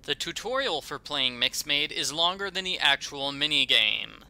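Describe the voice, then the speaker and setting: A man's voice: a little high, animated, normal speed, a crowd in the background. A man delivering scripted, sarcastic, mock-indignant narration that nitpicks a video game. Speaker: video critic.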